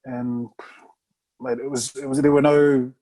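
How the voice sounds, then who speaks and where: Mellow New man, laid-back. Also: mid-range voice, a little slow. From New Zealand. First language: Samoan. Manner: relaxed, rambling, full of "mate" and "bro". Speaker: rugby player guest. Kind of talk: casual podcast interview.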